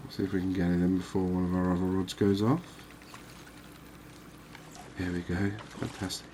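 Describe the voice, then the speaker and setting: A man giving relaxed, unhurried ASMR-style commentary on a video game, musing conversationally as he goes. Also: sits low. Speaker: gaming video host. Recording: clearly noisy background ambience.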